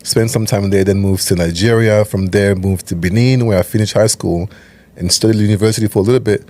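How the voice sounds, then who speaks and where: Calm man, lowish voice. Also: fairly quick. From Cameroon. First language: French. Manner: calm, methodical, explains step by step. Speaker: guest, nonprofit CEO. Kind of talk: interview conversation.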